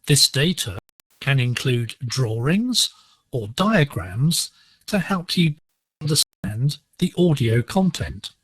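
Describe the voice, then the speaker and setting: Male radio teacher, slow pace, lively intonation, slightly husky sound, scripted and didactic, dry acoustic. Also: mid-range voice, no echo, rough recording. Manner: measured, didactic. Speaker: educational radio presenter. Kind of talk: scripted lesson narration. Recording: digital shortwave radio broadcast, slightly rough.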